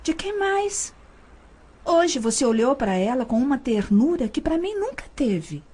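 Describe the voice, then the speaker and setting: A soft-toned, fairly high young woman in love voiced by an actress for an audiobook drama. She is jealous and coquettish, drawing her words out slowly. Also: sing-song. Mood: coy, jealous. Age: young adult. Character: young enamoured, jealous mistress.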